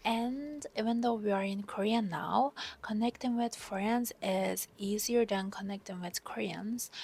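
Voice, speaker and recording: A young woman from Turkey, speaking English with a Turkish accent as a non-native speaker, talking in a personal vlog as an exchange student, softly and often half-whispered with many hesitant fillers. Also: medium pitch, vocal fry. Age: young adult.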